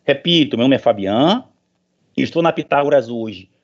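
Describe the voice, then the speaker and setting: A man's voice: medium pitch, animated, moderate pace. A middle-aged professor, a man addressing an online meeting in an informal, emphatic, motivational way, repeating phrases for stress. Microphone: online meeting call. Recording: dull lo-fi online call audio.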